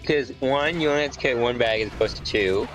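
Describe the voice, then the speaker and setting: A man's voice: medium pitch, quick pace, low-quality recording. A man chatting casually over a video game, arguing his case point by point. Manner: argumentative, point-by-point reasoning. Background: game music.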